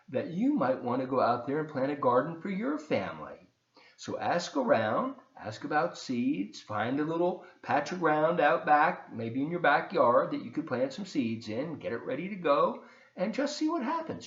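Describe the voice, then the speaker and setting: A man reading aloud to children as a volunteer storyteller, expressive and encouraging, with chatty asides. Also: medium pitch, reverberant, highs cut off.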